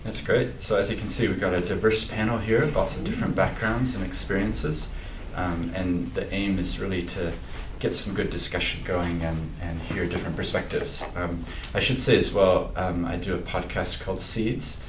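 Brief: easygoing male moderator, very deep voice, roomy hall, distant mic. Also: steady tone, normal speed, few pauses, noisy background. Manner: friendly, easygoing facilitator. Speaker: panel moderator and podcast host. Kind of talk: moderating a panel discussion.

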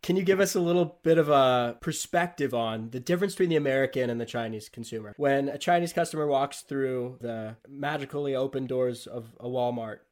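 A man's voice: medium pitch, fairly quick, few pauses, darkish tone. A young man hosting a business podcast, giving upbeat scripted introductions and asking questions. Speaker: podcast host.

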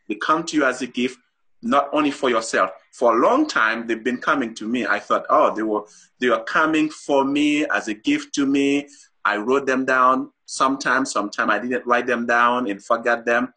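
A man's voice: medium pitch, bright, forceful tone, breathy edge. A middle-aged man from Haiti, a guest author on a talk show, explains his ideas earnestly with many fillers and restarts.

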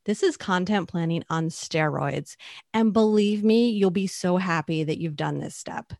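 A woman co-hosting a podcast who delivers prepared points in a steady, earnest way. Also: lowish voice, measured pace, gravelly, no room sound, studio quality. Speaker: podcast co-host. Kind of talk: scripted podcast chat.

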